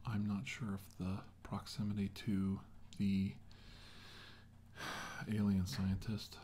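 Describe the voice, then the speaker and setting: Man acting middle-aged sci-fi doctor, weary and shaken, dark mellow voice, halting, half-whispered ASMR roleplay, noisy background. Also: fairly low pitch, monotone. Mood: grave, hesitant. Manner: halting, brooding, often dropping to a whisper. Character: weary, shaken male doctor recounting a battle with aliens. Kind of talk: slow ASMR roleplay monologue, soft and partly whispered.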